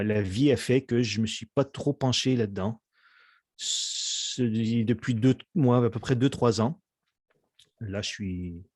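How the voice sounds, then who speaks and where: A man's voice: fairly low pitch, darkish tone, no room sound, clean studio sound. A middle-aged man from Quebec, chatting as an interview guest and often hesitating over fillers and restarts. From Quebec, Canada. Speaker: musician guest. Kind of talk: casual interview chat.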